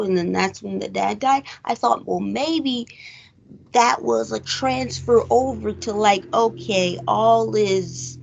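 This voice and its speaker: Female review-show host: casual, animated and questioning, slightly slow delivery with a noticeable creak. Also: on the high side, poor audio. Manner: animated, rhetorical questions, acts out quotes. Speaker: host of a film recap show. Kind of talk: casual chat movie review.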